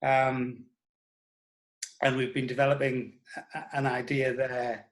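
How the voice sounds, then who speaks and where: A man answering as an interview guest, reflecting in a thoughtful, halting and emphatic way. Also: mid-range voice, level tone, poor audio.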